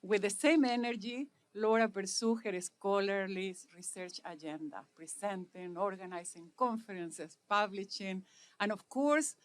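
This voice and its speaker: Middle-aged Chilean woman, Spanish native, formal ceremony speaker, deliberate phrasing, mid-pitched. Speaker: speaker at an inauguration. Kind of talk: formal prepared speech.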